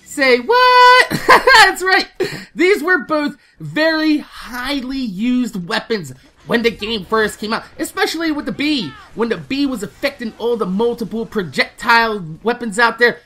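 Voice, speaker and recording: Woman, high bright voice, animated gaming commentary, informal, sweary, casual video host. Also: measured pace. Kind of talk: casual excited game news commentary.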